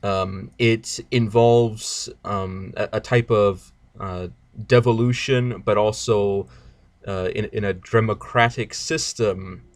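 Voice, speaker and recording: Earnest German man explaining slowly. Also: lowish voice, fairly even intonation, no room sound. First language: not English. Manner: earnest, explains at length with hesitations. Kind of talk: casual chat.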